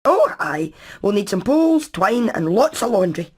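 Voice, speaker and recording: A woman voicing a practical, clever engineer hen in an animated game, methodical and matter-of-fact. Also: fairly high pitch, moderate pace, breathy edge. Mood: practical, careful. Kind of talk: animated video game voice acting.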